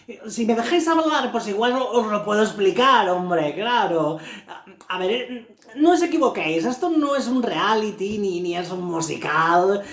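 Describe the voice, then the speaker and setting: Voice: mid-range voice, lively intonation, normal speed, natural voice. An actor playing a fussy psychologist who hesitates and repeats himself while explaining over a video call. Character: male psychologist doctor, fussy and long-winded. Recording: echoey room with music underneath.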